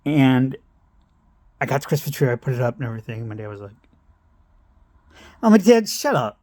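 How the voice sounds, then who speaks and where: A man's voice: medium pitch, a little fast, darkish tone, dry recording. A middle-aged man telling a meandering personal story, interrupting himself and laughing at his own tangents. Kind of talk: rambling personal anecdote.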